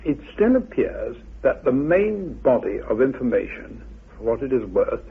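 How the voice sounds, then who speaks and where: A man with a gravelly, husky voice giving formal, deliberate expert commentary on a dull old radio recording. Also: medium pitch. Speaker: commentator, researcher.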